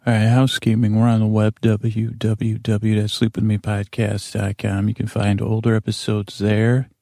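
Gravelly, creaky man rambling softly. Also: lowish voice, completely flat delivery, dry recording, studio quality. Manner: meandering, halting, self-correcting with repeated false starts. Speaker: podcast host. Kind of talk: rambling bedtime podcast intro.

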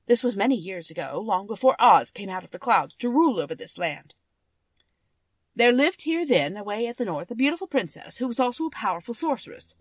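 A woman voicing a cheerful winged monkey king, telling his band's history in an expressive storybook manner. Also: mid-range voice, fairly quick, no echo. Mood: storytelling. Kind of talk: children's storybook character narration.

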